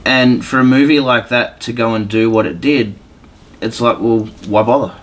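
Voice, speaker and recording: A man talking casually as a podcast panelist, with a somewhat halting, hesitant delivery. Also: mid-range voice. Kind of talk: casual podcast chat about films.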